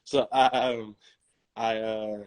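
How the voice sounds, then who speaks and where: A young man, a musician guest in a casual interview, telling his story in a halting way full of restarts and fillers. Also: on the low side, flat delivery, no echo.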